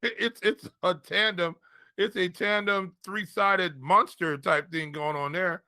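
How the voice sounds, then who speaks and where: A man's voice: high-pitched, fairly even intonation, measured pace, pushed and bright, dry recording, clean studio sound. A man co-hosting a casual fantasy football show, talking colloquially and repeating phrases for emphasis. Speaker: fantasy football podcast co-host and analyst. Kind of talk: casual sports talk.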